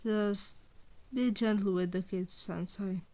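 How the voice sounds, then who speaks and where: A woman's voice: medium pitch, steady tone, slow pace, darkish tone, voice pushed down, lightly acted. A woman playing a weary, wary man who teaches heroes, sounding reluctant and exasperated in an audio story. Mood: uneasy, resigned. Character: weary, wary adult male hero teacher.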